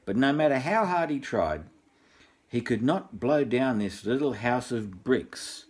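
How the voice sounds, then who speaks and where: A man's voice: medium pitch, a little slow, slightly rough. Older Australian man reading a bedtime story. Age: 60s or older. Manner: jokey, rambling, repeats himself with deadpan asides. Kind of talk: informal storybook reading with chatty asides.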